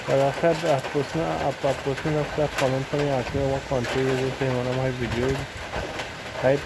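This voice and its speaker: A man's voice: medium pitch, level tone, a little fast, gravelly. A man from Southwest Trinidad with a Trinidadian accent, vlogging casually and rambling, repeating the same points as he talks into a propped-up phone. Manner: rambling and repetitive, circling back to the same points. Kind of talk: casual off-the-cuff vlog talk. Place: outdoors under shelter during heavy rain. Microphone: phone propped up nearby. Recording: loud rain noise behind the voice.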